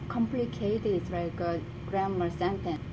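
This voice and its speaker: A woman from Korea with a Korean accent, a college student answering interview questions in halting English, searching for words with many fillers. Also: mid-range voice. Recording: noticeable background noise.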